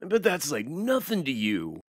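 A man's voice: on the high side, wildly swooping pitch, a little slow, slightly rough, no echo, clean studio sound, pitched above the performer's own voice, full character voice. A man voicing an eager, easily confused male sidekick, chatty and admiring, in a motion comic. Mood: impressed, puzzled. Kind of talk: motion comic character acting.

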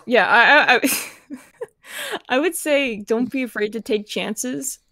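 A woman's voice: fairly high pitch, takes it slowly, sharp tone, no room sound. A woman voice actor on an online panel, talking casually with many fillers.

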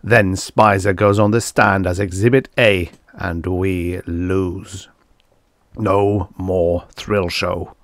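A domineering producer in a dark audio story, voiced by a male narrator. He is grim and coldly calculating, with a lowish, solemn, harsh delivery in a dry room. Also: steady tone, normal speed, gravelly, few pauses, no echo.

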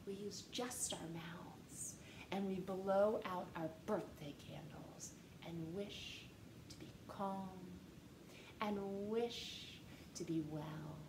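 A woman in her thirties reading a picture book aloud to children in an expressive, gentle way, seated on a couch at home. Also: medium pitch. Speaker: storytime reader. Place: living room, seated on a couch. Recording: echoey room with faint hiss.

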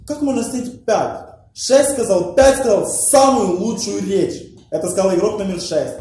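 A man's voice: high voice, normal speed, dark tone. A man arguing heatedly at a mafia game table, scolding the other players. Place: room with a round table, indoors. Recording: echoey room with faint hiss.

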